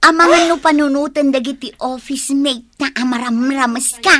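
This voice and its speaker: A woman's voice: high voice, moderate pace, noisy background, close to an everyday voice. A woman with an Ilocano accent playing a vain, sassy, newly glamorous wife in a radio comedy, teasing and boastful. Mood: teasing rebuke.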